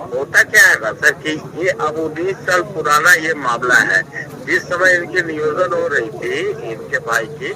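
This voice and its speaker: A man's voice: a little high, lively intonation, moderate pace, crowd noise. An official answering a phone call, heard through a speaker at a protest. He defends himself and keeps repeating "sir" and that the papers were already given. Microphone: phone line played over a speaker.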